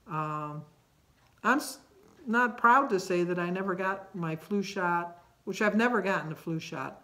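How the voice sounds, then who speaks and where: A woman's voice: lowish voice, fairly bright tone. A woman of sixty-seven, talking casually for a vlog in a chatty, rambling, self-deprecating way. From United States. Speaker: vlogger. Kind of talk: casual personal vlog talk about daily life.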